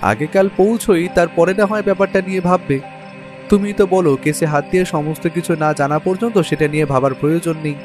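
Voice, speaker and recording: Man acting as detective's companion, first-person narrator, somewhat high-pitched, curious, watchful, audio story, music behind. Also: a little fast, darkish tone, lightly acted. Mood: questioning.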